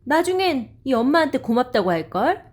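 A woman playing a brisk, pushy mother. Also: sits high, sing-song, voice pushed up. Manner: brisk, nagging, scolding. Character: busy, pushy working mother in her forties. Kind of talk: audio drama acting.

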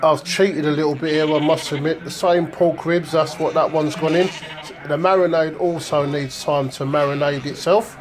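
A man's voice: fairly high pitch, steady tone, moderate pace, crowd noise. A man giving a casual, jokey running commentary on his home cooking like a showman chef. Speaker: home cook presenting his food. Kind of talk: casual cooking video narration. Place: home kitchen. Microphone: handheld phone behind the camera.

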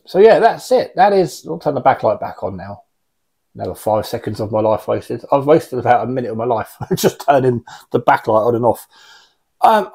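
A man's voice: mid-range voice, darkish tone. A British man in his forties giving a casual, unscripted gear review in a wry, blunt, rambling way, recorded in a small room. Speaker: radio hobbyist reviewing gear. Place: small indoor radio room.

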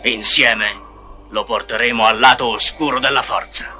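A man playing a sinister old emperor who gives slow, menacing commands. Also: mid-range voice, steady tone, sharp tone, soft background music.

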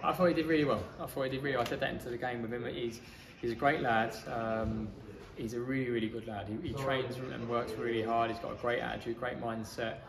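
A man, a football manager giving a measured, matter-of-fact post-match interview. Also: mid-range voice, fairly even intonation, creaky. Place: outdoors in a small football ground stand. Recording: echoey stand with crowd noise.

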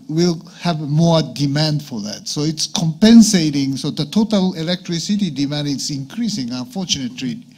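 Male debate moderator, slightly high voice, halting delivery with repeated word starts. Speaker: panel moderator. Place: conference meeting room with long tables.

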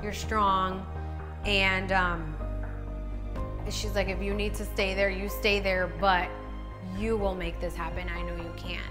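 An American woman in her thirties telling her personal story to camera in a candid, animated way, peppered with "like". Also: mid-range voice, a little slow. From Dominican Republic, raised in northeastern United States. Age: 30s. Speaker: professional bikini fitness competitor. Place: large open indoor living area with kitchen. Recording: reverberant room, music underneath. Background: background music.

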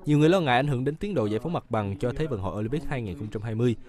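A man reading a scripted news report as voice-over, in a brisk, even manner. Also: mid-range voice.

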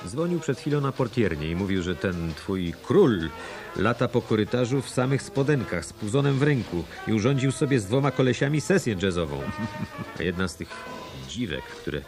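An actor playing an exasperated hotel manager in a radio drama, gruff and matter-of-fact as he gives orders. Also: fairly low pitch, big pitch swings, moderate pace, music in the background. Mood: bossy, exasperated.

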